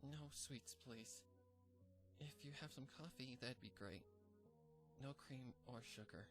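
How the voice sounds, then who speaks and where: A man reading a fanfic aloud, voicing an earnest, bookish young female pony in a gentle, lightly raised voice. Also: mid-range voice, slow pace, over music, natural voice. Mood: concerned.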